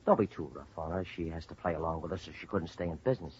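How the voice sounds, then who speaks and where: An American actor playing a shady, wary small-time crook with slangy talk in a 1950s radio crime drama. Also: male voice, low-pitched, racing, darkish tone, lightly acted. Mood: wary, conciliatory. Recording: dull, noisy vintage radio recording.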